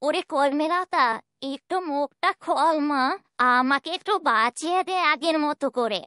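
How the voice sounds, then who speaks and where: A woman's voice: high voice, unhurried, fairly bright tone, no room sound, studio quality. A woman voicing a scheming, self-pitying wife in a fairytale cartoon, whiny, wheedling and melodramatic. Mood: anxious scheming. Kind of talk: children's fairytale cartoon dubbing.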